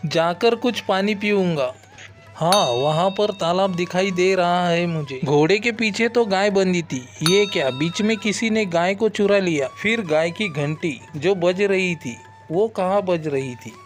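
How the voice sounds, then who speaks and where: A man's voice: high voice, unhurried. A man playing a trusting, fretful middle-aged village father in a cartoon story, exclaiming and thinking aloud. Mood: satisfied. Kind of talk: Hindi cartoon moral-story acting. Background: background music.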